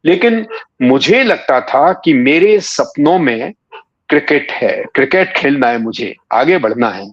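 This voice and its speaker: A man's voice: fairly high pitch, expressive. Man in his sixties, muffled recording. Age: 60s. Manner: unhurried storytelling with frequent hesitant pauses. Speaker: interview guest, writer and former cricket commentator. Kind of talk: reminiscing in a conversational interview. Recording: dull, muffled lo-fi audio.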